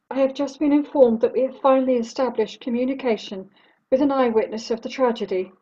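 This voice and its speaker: A woman playing a composed radio news announcer in a drama, reading grave bulletins in a formal, measured way. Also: high voice, mellow, breathy edge, lo-fi sound.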